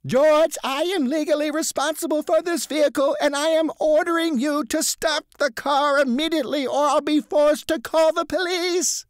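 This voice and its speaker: A man voicing a timid, rule-bound male car salesman who pleads and then yells in panic. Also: sing-song, moderate pace, few pauses, dry recording, clean studio sound. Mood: panicked. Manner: pleading, high and strained, rising to yells.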